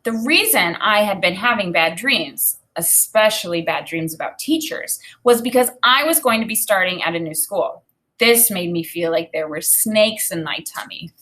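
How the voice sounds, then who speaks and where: A woman in her thirties reading a children's book aloud as a teacher, expressive and deliberate, pausing to think aloud. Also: medium pitch, a little hiss or hum. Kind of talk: read-aloud lesson with think-aloud commentary. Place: small indoor room with desk and bookshelf.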